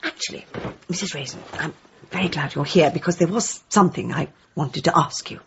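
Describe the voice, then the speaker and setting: An actress playing a gentle, slightly hesitant village woman, polite and homely, in a radio comedy drama. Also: deep voice, a little fast, creaky, muffled recording, slight background noise.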